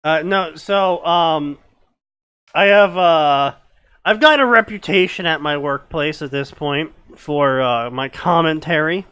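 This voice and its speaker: A young man co-hosting a stream, telling work anecdotes in casual, deadpan chat with lots of fillers. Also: a little high, takes it slowly, clean tone, dry recording. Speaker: stream co-host.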